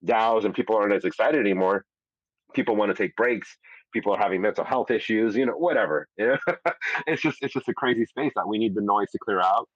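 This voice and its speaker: Fast-talking low-voiced man, profane and rambling. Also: no room sound, muffled recording. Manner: rambling, profane, frequent restarts. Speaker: host. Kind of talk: casual live audio show chat. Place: audio chat room.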